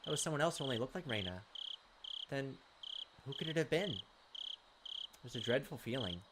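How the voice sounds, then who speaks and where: A man hosting a game video, reading visual novel text aloud with slow suspense and dropping into casual commentary. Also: mid-range voice, lively intonation. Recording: clearly noisy home recording, clicks, insect sounds.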